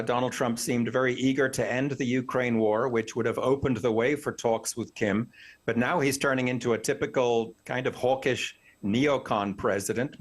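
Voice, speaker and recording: Man, academic news guest. Medium-pitched voice, articulate, opinionated, analytical commentary. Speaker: professor, expert news guest.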